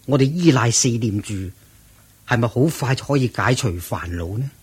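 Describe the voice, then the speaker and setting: A man's voice: medium pitch, animated, moderate pace, husky. An actor playing a reverent disciple monk in a radio drama, earnest, respectful and protective of his master. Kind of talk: Cantonese radio drama acting.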